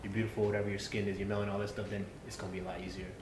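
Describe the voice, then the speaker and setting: A man chats casually at a fast clip with little rise and fall in his voice. The recording has room echo and clear background noise. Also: fairly low pitch, flat delivery. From Somali background, has not lived abroad. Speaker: podcast guest. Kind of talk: casual chat.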